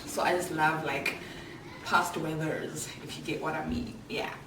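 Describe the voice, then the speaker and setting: A young South African woman in her mid-twenties chats candidly to camera with a low voice, dropping slang and fillers as she goes. She sits a little off from the mic in an echoey room. Clear background noise runs under her voice. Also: fairly even intonation, a little slow, distant mic. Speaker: vlogger. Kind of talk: casual Q&A chat to camera.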